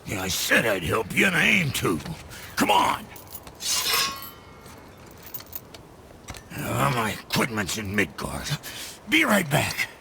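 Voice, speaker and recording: An actor playing a brusque, jittery blacksmith in a video game, gravelly and hurried. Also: male voice, mid-range voice, wildly swooping pitch, noisy background, exaggerated voice. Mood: brisk, helpful. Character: brusque, jittery, grumbling blacksmith, eager to hurry. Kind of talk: animated video game acting.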